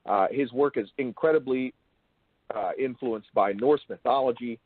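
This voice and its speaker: A man hosting an interview, asking long, wordy, bookish questions in a halting way. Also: medium pitch, husky, dry recording. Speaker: interviewer and show host. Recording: thin phone-like call audio.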